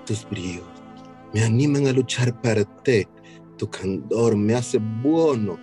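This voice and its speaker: An actor playing a smitten Italian immigrant lover in a radio soap opera, speaking romantically and effusively with an Italian accent. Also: male voice, on the low side, animated, takes it slowly, dark tone, faint music behind. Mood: enraptured, tender.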